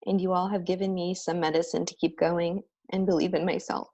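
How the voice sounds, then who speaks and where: A woman with a slightly low voice speaks earnestly and reflectively. She delivers a heartfelt ordination address in a ceremonial tone. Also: level tone, a little fast, warm tone, rough recording. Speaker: ordained minister giving a speech.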